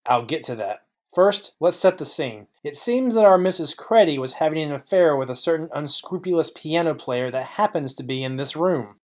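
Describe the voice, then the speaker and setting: A man playing a clever private detective in a noir audio drama, confident, wry and deliberate. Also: a little high, animated, a little mellow, lightly acted. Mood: assured.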